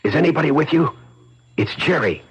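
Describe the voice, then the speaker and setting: An actor playing a scheming, fast-talking, hard-boiled crook in a 1970s radio drama, slangy and insistent. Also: male voice, a little high, expressive, breathy edge. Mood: urgent, secretive. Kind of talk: 1970s radio drama acting, with first-person narration. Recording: muffled 1970s radio broadcast, music underneath.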